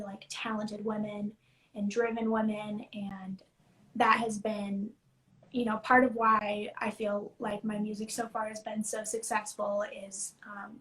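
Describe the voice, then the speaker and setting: A young woman in her early twenties talks in a loose, chatty way over a live phone video call, filling her sentences with "like" and "you know". Her voice sits a touch higher than most. She comes across as a songwriter guest in a relaxed interview. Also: low-quality recording. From United States. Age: 20s. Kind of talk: casual interview chat.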